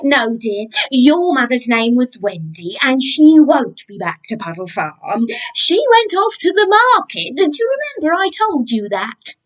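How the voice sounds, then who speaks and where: A woman's voice: sits high, big pitch swings, a little slow, hardly any pauses. A woman voicing a spoilt, haughty female cat on a farm in a children's story, on a slightly muffled recording.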